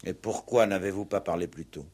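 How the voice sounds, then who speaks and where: Low, husky, principled police commissioner. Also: male voice, a little mellow. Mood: probing. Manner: measured, formal, authoritative. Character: stern, principled male police commissioner questioning a culprit. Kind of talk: 1960s French radio play acting.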